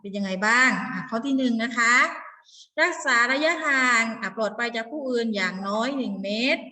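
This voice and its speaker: A woman's voice: a little high, a little fast, bright, forceful tone. A woman teaching young children in an interactive storytime lesson, encouraging them with simple repeated questions. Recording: distant mic in echoey hall.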